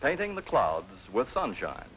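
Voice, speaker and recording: A man's voice: medium pitch, huge pitch swings, measured pace. A man reading scripted clues as an off-screen game show announcer, on a muffled noisy 1950s broadcast.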